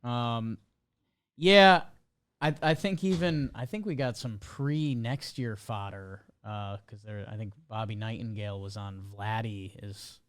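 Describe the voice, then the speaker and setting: A man's voice: fairly low pitch, a bit bright, slight background noise. A man co-hosting a podcast, chatting casually about sports in a laid-back way. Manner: laid-back, quick agreeing replies. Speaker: podcast co-host.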